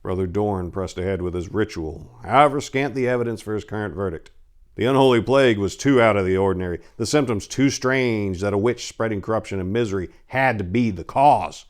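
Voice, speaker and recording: A man's voice: lowish voice, brisk. A man giving a dramatic, grave reading of a scripted fantasy story, with a theatrical storyteller's delivery.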